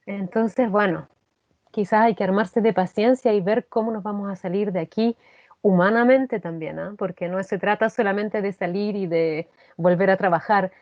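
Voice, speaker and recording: A woman's voice: medium pitch, no echo. A woman with a Chilean accent speaking reflectively as an interview guest, repeating phrases as she thinks aloud. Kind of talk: conversational interview. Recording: dull lo-fi sound.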